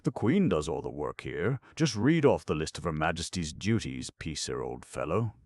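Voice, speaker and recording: A man's voice: a bass voice, sing-song, normal speed, a little mellow, no room sound, full character voice. A man voicing a cheerful, bossy ragman who chuckles and speaks pompously. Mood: calm. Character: cheerful, gleeful, bossy male chief scrapper.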